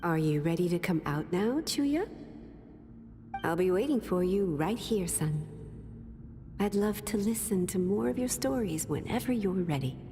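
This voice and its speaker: Gentle mom from a game, slow soothing slightly stilted talk, lots of reverb, swoopy intonation. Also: female voice, medium pitch, lively intonation. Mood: tender, soothing. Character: tender, soothing mother consoling her son. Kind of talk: video game voice acting. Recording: long dreamlike reverb, faint music.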